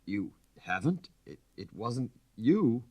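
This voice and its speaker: A man's voice: mid-range voice, sing-song, slow, warm tone, pitched above the performer's own voice, full character voice. A man voicing an anxious aristocratic husband, a count who is insistent and easily flustered, in a storybook reading. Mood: stunned, stammering. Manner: insistent, stammering when shaken.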